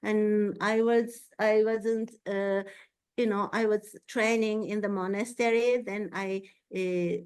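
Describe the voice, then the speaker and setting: A woman Zen teacher answering a student, speaking haltingly with pauses and frequent agreeing murmurs. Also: mid-range voice, steady tone, clear, resonant tone. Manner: halting, self-conscious about her English, frequent laughs; slow and warm, many soft agreeing murmurs. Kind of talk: Q&A after a dharma talk.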